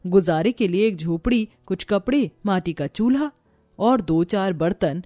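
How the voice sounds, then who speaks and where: A woman's voice: mid-range voice, expressive, a little slow, dark tone, dry recording, studio quality. A woman reading scripted storybook narration of a moral tale.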